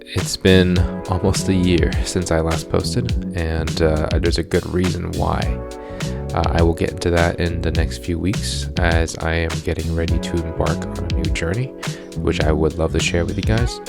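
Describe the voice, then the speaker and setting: A man in his late twenties with an American accent, narrating a reflective personal vlog in an earnest, measured way. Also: low voice, little pitch movement, creaky. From born in Hong Kong, grew up in Southern California from age seven. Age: late 20s. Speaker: vlogger. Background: faint background music.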